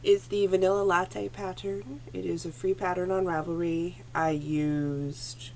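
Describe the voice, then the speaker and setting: A woman's voice: on the low side, steady tone, measured pace, darkish tone, slight background noise. A woman hosting a knitting podcast from home, chatting casually to her computer camera with a phlegmy throat, rambling and correcting herself as she goes. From Canada. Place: home room. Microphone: computer camera at desk.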